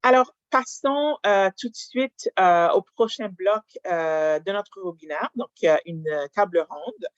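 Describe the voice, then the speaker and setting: A webinar moderator speaking formally and in a measured, hesitant way, with drawn-out pauses, presenting over a video call. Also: mid-range voice, dry recording. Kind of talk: formal presentation, introducing panelists. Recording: dull lo-fi online call audio.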